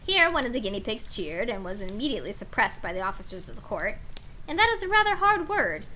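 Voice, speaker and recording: Bright, very animated woman storyteller. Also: mid-range voice, fast, a little hiss or hum. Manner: expressive, playful. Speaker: storytime streamer reading aloud. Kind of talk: animated storybook narration with casual asides.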